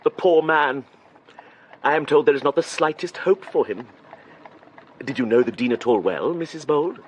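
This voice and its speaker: A British actor playing an unctuous, flattering clergyman in a period radio drama, formal and effusive. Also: a little high, normal speed, slightly rough, rough recording. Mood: mock sorrow. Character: unctuous, flattering clergyman suitor, falsely pious.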